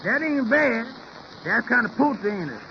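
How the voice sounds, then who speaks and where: A man's voice: very high voice, very animated, moderate pace. A man playing an agreeable, simple taxi company partner in old radio comedy, with folksy, ungrammatical talk. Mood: eager, agreeable. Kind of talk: 1930s radio comedy acting. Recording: muffled, noisy vintage radio recording.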